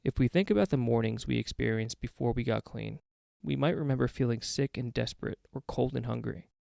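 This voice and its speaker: Very gravelly man reading aloud. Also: mid-range voice, brisk, darkish tone, dry recording, clean studio sound. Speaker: guest reader of a recovery podcast. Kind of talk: read-aloud daily meditation.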